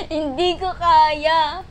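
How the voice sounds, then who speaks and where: High-pitched young Filipino woman, noisy recording. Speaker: prank caller, vlogger. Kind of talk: casual phone chat.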